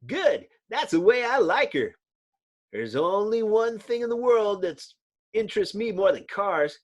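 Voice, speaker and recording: A man's voice: sits high, big pitch swings, unhurried, pitched above the performer's own voice. A man voicing a cocky, hot-headed young superhero in an earnest, exclamatory way.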